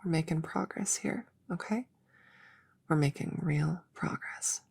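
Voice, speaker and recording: A friendly, slightly bumbling novice witch acted by a woman murmurs through an ASMR roleplay. The voice is low, with a creaky, breathy edge that often slips into a whisper. The delivery is unhurried, casual and a little hesitant. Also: darkish tone, dry recording, heavily acted. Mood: reassuring. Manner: hesitant, casual, self-correcting. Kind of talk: soft-spoken ASMR roleplay.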